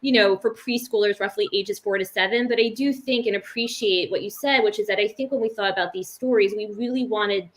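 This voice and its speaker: A woman's voice: mid-range voice, a little fast, few pauses. A woman giving an unscripted, conversational answer as an executive on a panel. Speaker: animation executive.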